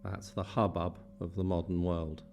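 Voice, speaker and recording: A man with a low-pitched voice teaches a guided meditation. He speaks in short, slow phrases and leaves generous pauses between them, giving each instruction room before the next. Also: level tone, creaky, darkish tone, soft background music.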